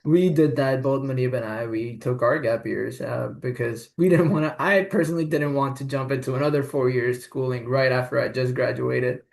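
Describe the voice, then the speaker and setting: A man's voice: medium pitch, steady tone, normal speed, gravelly, few pauses. Affirming male podcast host. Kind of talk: casual interview chat.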